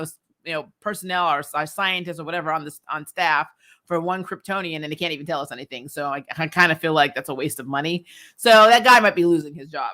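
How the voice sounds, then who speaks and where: A woman giving a casual, slangy episode review as a host, animated and opinionated in her delivery. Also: lowish voice. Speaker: host of a TV recap show.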